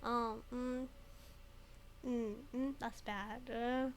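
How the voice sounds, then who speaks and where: A woman's voice: on the high side, steady tone, very slow, a little hiss or hum. A woman commenting casually on a video game, giggling often and hesitating a lot. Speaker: game streamer. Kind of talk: casual let's play commentary.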